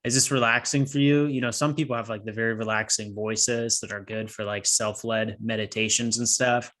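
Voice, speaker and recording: A man's voice: fairly low pitch, fairly even intonation, brisk, a bit bright. A man co-hosting a casual podcast, rambling and losing his train of thought, with a slightly nasal voice. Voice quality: a bit nasal. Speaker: podcast co-host. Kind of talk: casual chat.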